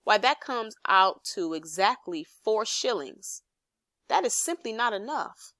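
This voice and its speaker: A woman voicing a conceited, excitable male toad in a children's storybook, with a pompous, exclamatory delivery. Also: mid-range voice, unhurried, no room sound, studio quality, full character voice. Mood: haggling, firm. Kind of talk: children's storybook read aloud.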